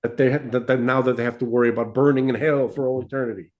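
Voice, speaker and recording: A man's voice: mid-range voice, steady tone, very fast. A man co-hosting a podcast, talking through his views in a rambling way, with frequent restarts and tag questions like "right?" and "okay?". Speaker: podcast co-host. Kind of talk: casual religious discussion.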